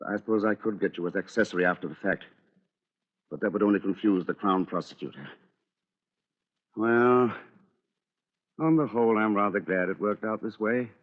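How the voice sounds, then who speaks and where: An actor playing a wry, urbane British schemer, coolly amused, in a 1940s radio drama. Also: male voice, on the low side, steady tone, normal speed, pauses often, natural voice. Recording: dull, reverberant old radio recording.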